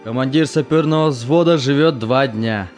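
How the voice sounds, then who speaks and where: A man playing a gruff, commanding army major who is exasperated but protective, in an amateur audio drama. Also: medium pitch, normal speed, darkish tone, soft background music, natural voice. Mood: stern, dissuading. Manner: commanding, stern.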